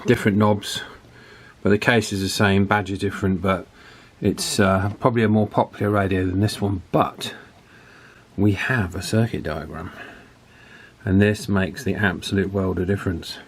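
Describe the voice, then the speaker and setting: A man's voice: deep voice, fairly even intonation, moderate pace, a little hiss or hum. A man working at a bench, talking casually through a radio repair as he thinks aloud, with fillers and restarts. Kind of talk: casual workbench tutorial.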